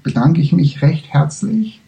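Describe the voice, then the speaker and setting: Very bright-voiced halting lecturer, a man. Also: fairly high pitch, lively intonation, creaky, rough recording. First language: English. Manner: halting, searching for words, many restarts. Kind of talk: spiritual lecture.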